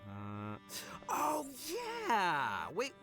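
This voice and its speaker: A man voicing a loud, boastful, good-hearted young brawler in a video game, brash and slangy. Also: fairly high pitch, wildly swooping pitch, drawn-out words, creaky, exaggerated voice. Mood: brash, puzzled. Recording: music playing behind the voice.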